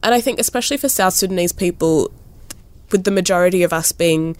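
A young woman in her twenties speaking in a relaxed, thoughtful way in an interview, often pausing with "like" and "you know". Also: on the low side, no room sound. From born in Ethiopia, raised in Kenya and Australia. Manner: thoughtful, frequent "like" and "you know", lively rises. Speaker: interviewee, artist. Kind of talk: casual conversational interview.

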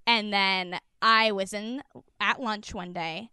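A young American woman telling a comic story casually, in an animated, giggly way that dramatizes people's reactions. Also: medium pitch, slow pace, smooth, dry recording, studio quality. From United States, small rural town.

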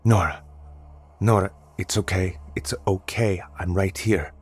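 A man's voice: sits low, fairly even intonation, unhurried, a little mellow, slightly rough. A man voicing a frightened young husband who tries to comfort his wife, his words shaky and uncertain. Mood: consoling.

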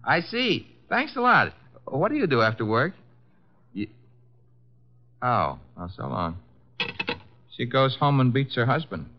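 A man's voice: medium pitch, very animated, a little slow, long pauses. An actor playing a cheeky, wisecracking detective's assistant in a 1950s radio drama, casual and sardonic in his delivery. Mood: breezy, wry. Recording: dull lo-fi old radio recording.

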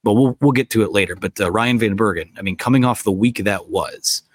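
A man with a low voice hosts a live sports talk show from a stadium press box. He is losing his voice to a cold and rambles casually, leaning on fillers. The sound is dry and close. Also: brisk, breathy edge, no room sound. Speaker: podcast host. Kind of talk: post-game sports talk.

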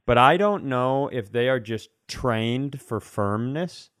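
A man's voice: medium pitch, expressive, takes it slowly, a bit bright, no room sound, clean studio sound. A man in casual podcast chat, telling personal stories in a frank, conversational, comic way. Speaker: podcast co-host and comedian.

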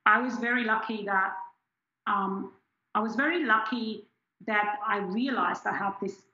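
A woman's voice: mid-range voice, a little slow, bright, forceful tone, roomy sound, highs cut off. A woman in her forties from Switzerland, reflectively telling her story as an interview guest with many restarts. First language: German. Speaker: scientist guest. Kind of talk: candid personal interview.

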